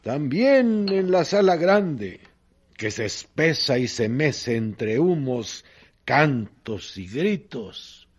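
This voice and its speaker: A man narrating a radio drama in a solemn, deliberate literary style with dramatic pauses. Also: mid-range voice, sing-song, breathy edge.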